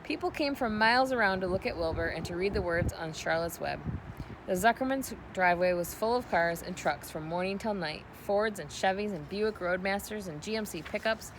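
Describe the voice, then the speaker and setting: A woman's voice: mid-range voice, fairly quick. Woman reading a storybook over noise. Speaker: read-aloud narrator. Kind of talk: storybook narration.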